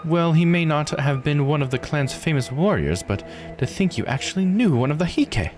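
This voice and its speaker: A man's voice: on the high side, lively intonation, normal speed, music in the background, pitched above the performer's own voice, lightly acted. A man voicing a curious, informal investigator in a visual novel read-aloud.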